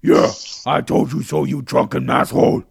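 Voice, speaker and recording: Guy doing a grumpy virus creature, super gravelly, slow and husky, dry sound. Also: medium pitch, steady tone, no echo, voice pushed up, full character voice. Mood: cranky. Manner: slow, cranky scolding. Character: grumpy gravelly coronavirus spirit, male, scolding.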